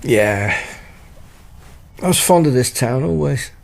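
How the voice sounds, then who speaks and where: Creaky, easygoing husband. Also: male voice, mid-range voice, lively intonation, slow pace. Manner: casual, hesitant, light-hearted. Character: easygoing, joking husband, vague and placating. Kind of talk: naturalistic radio drama acting.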